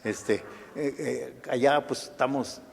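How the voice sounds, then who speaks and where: A man's voice: medium pitch, steady tone, takes it slowly, gravelly. An older man from the United States with a Chicano Spanish accent, giving a thoughtful lecture and answering audience questions, often restarting his phrases. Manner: thoughtful, with frequent restarts and fillers. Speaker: guest lecturer, historian. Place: lecture hall. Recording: lecture hall with long reverb.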